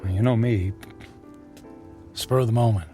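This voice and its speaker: A man's voice: on the low side, moderate pace, mellow, close to an everyday voice. An actor playing a humbled, middle-aged ex-husband in a radio drama, apologetic and pleading, stammering as he goes. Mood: sheepish. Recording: music bed and rain behind.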